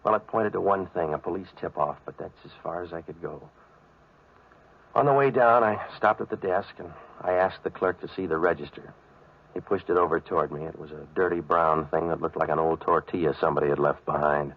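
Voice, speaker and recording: An American actor playing a wry, hard-boiled troubleshooter in a 1940s radio noir, with deadpan, sardonic delivery. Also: male voice, low-pitched, fairly quick, slightly rough. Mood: world-weary. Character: wry, hard-boiled male private troubleshooter, narrating his case. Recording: dull old radio recording.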